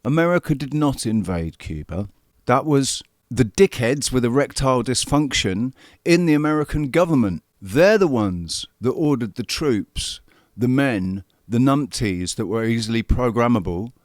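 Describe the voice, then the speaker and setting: A man's voice: mid-range voice, expressive, normal speed, no echo, clean studio sound. A man in his forties giving heated, profane political commentary as the host. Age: 40s. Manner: angry, profane, repetitive emphasis. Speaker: show host commenting on a played clip.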